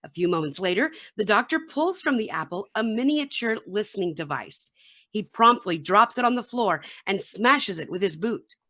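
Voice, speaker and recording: Narrator: woman, scripted drama narration, medium pitch, lively inflection. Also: moderate pace. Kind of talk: scripted narration, reading stage directions.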